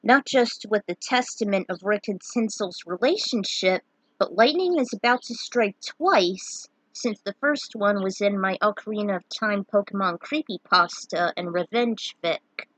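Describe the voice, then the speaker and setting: A woman's voice: medium pitch, expressive, a little slow, fairly bright tone, no echo, low-quality recording. A woman introducing and narrating her own fan fiction in a theatrical, emphatic way. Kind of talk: dramatic recap and reading of own fan fiction.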